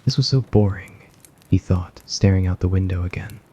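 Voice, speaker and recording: A man reading a scripted story in a soft ASMR narration style. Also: sits low, moderate pace, slightly rough.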